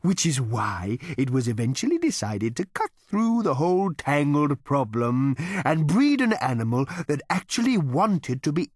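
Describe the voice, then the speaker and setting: A man voicing a large, contented talking cow in a storybook reading, slow, drawn-out and ingratiatingly polite like a waiter. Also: no echo, clean studio sound, full character voice. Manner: slow, drawn-out, obsequious lowing.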